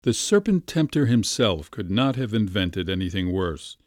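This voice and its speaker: A polite middle-aged doctor hems and hesitates, embarrassed, as a man voices him. His voice is low and mellow, with a lively rise and fall, recorded dry. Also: normal speed, no room sound. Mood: flustered. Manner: hesitant, mildly flustered.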